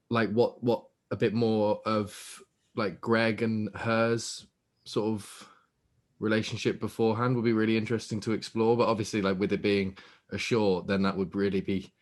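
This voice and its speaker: A man giving casual notes as an actor in a script discussion, rambling tentatively through his thoughts. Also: on the low side.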